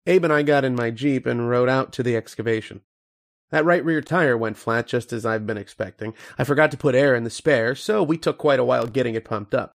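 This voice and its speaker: An actor playing an earnest, haunted archaeologist telling his uncanny tale in old-time radio horror style, with dramatic, deliberate pauses. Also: medium pitch, creaky, lightly acted. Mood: brooding, haunted. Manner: dramatic first-person storytelling with deliberate pauses.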